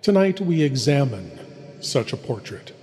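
A man giving a scripted introduction as a horror show host, theatrical, ominous and deliberate. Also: medium pitch, very animated, warm tone, slight background noise. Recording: long hall-like reverb, faint music.

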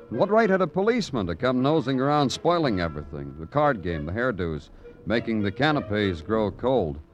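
An actor playing a wry, world-weary police detective in an old radio drama, speaking in a clipped, deadpan way. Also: male voice, medium pitch, natural voice. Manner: clipped, deadpan, hard-boiled. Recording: vintage radio recording with crowd sounds.

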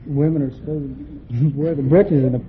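A man's voice: on the high side, fairly even intonation, fairly quick, phone-quality sound. A young man answering interview questions as a quiz contestant, in a plain and hesitant way. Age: young adult. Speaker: navy frogman, quiz contestant. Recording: thin, faintly noisy old radio recording.

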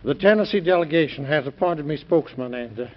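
An actor plays a nervous colonel who stammers and hems and haws through every sentence in an old radio drama. The vintage recording sounds dull. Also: male voice, fairly high pitch, animated, quick pace, lightly acted. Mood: flustered. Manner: stammering, hemming and hawing. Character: nervous stammering male colonel, delegation spokesman.

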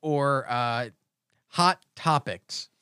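A man's voice: mid-range voice, very slow, bright, forceful tone, clear, resonant tone, dry recording, clean studio sound. A man in his thirties hosting a casual comedy chat, jokingly reading out odd news stories. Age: 30s. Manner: jokey, reads news stories aloud with asides. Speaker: podcast host.

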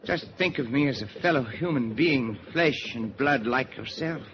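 A man acting an arrogant Nazi spy who pleads and bargains as a captive, in deliberate, halting, theatrical 1940s radio drama style. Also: mid-range voice, animated, close to an everyday voice. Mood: wary, persuasive. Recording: muffled, noisy 1940s radio recording.

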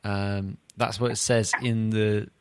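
A man's voice: fairly low pitch, level tone, slow pace, a little mellow, clear, resonant tone, no echo. Man hosting comic podcast, dry close sound. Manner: teasing, mock-baffled. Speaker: podcast host. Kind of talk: casual comic podcast banter.

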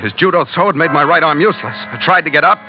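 A man's voice: fairly high pitch, lively intonation, racing, music in the background, lightly acted. Man acting a hard-boiled ship's skipper, muffled old radio. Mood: grim, dry. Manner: clipped, hard-boiled, dry. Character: tough, world-weary ship's skipper narrating his adventures.

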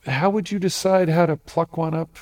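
A man's voice: a little high, fairly even intonation, a little fast, darkish tone. A man hosting an interview, asking curious follow-up questions in short prompts. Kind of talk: casual interview.

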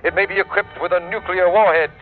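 A man's voice: sits high, steady tone, quick pace, faint music behind, lightly acted. Actor as urgent, tinny-sounding vintage radio newsman. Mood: alarmed. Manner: clipped, formal, emphatic announcing. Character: urgent male radio newscaster relaying war bulletins. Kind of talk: 1960s radio drama newscast.

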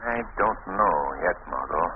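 An actor playing a calm, assured gentleman investigator in an old radio drama, measured and formal, on a noisy vintage recording. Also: deep voice, narrow, tinny audio. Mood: calm, resolute.

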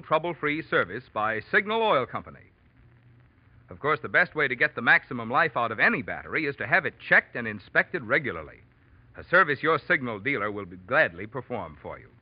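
A man's voice: fairly high pitch, no room sound. An American man announcing on 1940s radio, reading scripted sponsor copy in a polished, emphatic manner. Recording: dull old radio recording.

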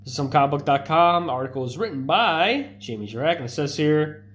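A man's voice: fairly high pitch, expressive, fairly quick, a bit bright, slight background noise. A congested young man chatting loosely as a streamer, rambling with many fillers and restarts. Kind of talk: casual live-stream chat.

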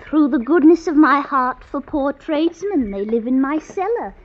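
A coy young period wife, high-pitched with lively inflection. She answers in a demure, clipped, falsely innocent way. It sounds like a muffled old radio broadcast. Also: moderate pace. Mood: coy, evasive. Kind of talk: 1950s radio drama acting. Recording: dull, noisy old radio recording.

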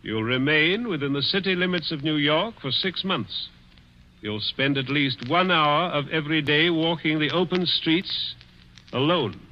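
An actor playing a wealthy, sadistic older man in a 1940s radio suspense play, with a mocking, drawn-out, menacing delivery. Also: fairly high pitch, close to an everyday voice. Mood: coolly commanding. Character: wealthy, gloating, sadistic older man taunting his guest. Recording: muffled, hissy 1940s radio recording.

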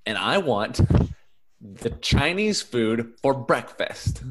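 A man's voice: mid-range voice, big pitch swings, takes it slowly, a bit bright, exaggerated voice, rough recording. A man playing a self-important, boastful diner customer, pompous and insistent, in improvised comedy. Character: self-important, boastful breakfast diner craving historic glory.

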